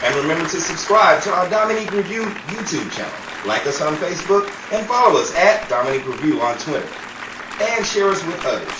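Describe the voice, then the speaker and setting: A man's voice: a little high, very animated, fast, crowd noise. A man announcing a scripted radio show sign-off. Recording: echoey distant room, noisy audience ambience.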